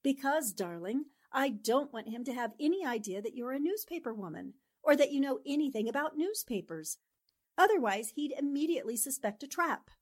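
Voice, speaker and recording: Actress as a high-voiced, shrewd lady reporter. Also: expressive. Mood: matter-of-fact. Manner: brisk, decisive, articulate. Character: shrewd, take-charge woman newspaper reporter. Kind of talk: old-time radio drama acting.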